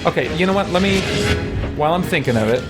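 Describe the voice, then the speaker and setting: A man's voice: on the high side, lively intonation, fast talker, loud music behind the voice. A man streaming a video game, thinking aloud in casual commentary and chatting with viewers using mild exclamations.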